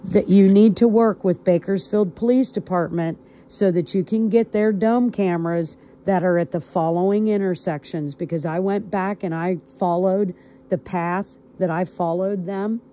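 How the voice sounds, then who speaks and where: A woman caller on a recorded call, recounting events to law enforcement step by step in a deliberate, emphatic manner. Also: on the low side, fairly even intonation, dark tone, a little hiss or hum.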